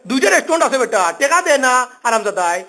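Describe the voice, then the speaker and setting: A man's voice: very high voice, big pitch swings, fast talker, bright, forceful tone. A man with a Sylheti accent on a phone call, talking informally in a pleading, complaining, repetitive way. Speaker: phone caller.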